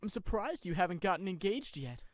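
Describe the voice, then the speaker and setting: A woman's voice: lowish voice, big pitch swings, fairly quick, dry recording. A woman voicing an arrogant, possessive young nobleman in a fantasy audio roleplay, with a smug, pompous manner.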